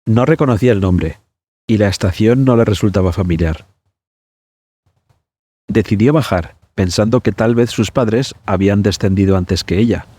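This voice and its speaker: A man hosting a podcast, narrating a scripted story in a measured, suspenseful manner. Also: lowish voice, mellow, breathy edge, no echo.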